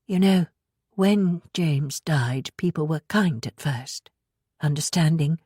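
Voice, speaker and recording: A woman voicing a grieving widowed mother in an audiobook, husky, hushed and halting as she confides. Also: low-pitched, no echo, studio quality, full character voice. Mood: sorrowful, confiding. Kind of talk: audiobook character dialogue.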